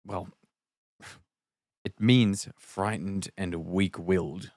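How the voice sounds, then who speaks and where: Man acting a miserable, low-voiced dumped young guy. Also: steady tone, very slow, darkish tone, no room sound, studio quality. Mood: glum, subdued. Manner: sighing, downbeat. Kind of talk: table read of a film comedy scene played sad.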